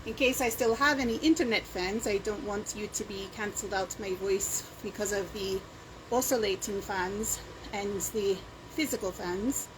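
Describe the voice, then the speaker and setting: A woman's voice: medium pitch, moderate pace. A woman with a Scottish-sounding accent chatting casually in a rambling, self-deprecating way, as a home vlogger on a handheld camera. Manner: meandering, self-deprecating asides. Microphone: handheld camera or phone. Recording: clear background noise, handheld home video.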